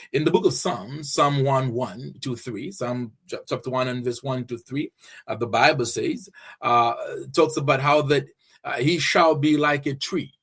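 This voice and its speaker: Husky-voiced man preaching on a podcast. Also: medium pitch, a bit bright. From Zambia. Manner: earnest, repeats key phrases, frequent fillers and restarts. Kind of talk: faith-based motivational teaching.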